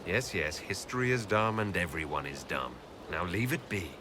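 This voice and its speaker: A man's voice: deep voice, expressive, normal speed, noisy background. A man playing an earnest, wounded elf hero in a fantasy video game, direct and firm. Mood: irritated. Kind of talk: dramatic video game acting.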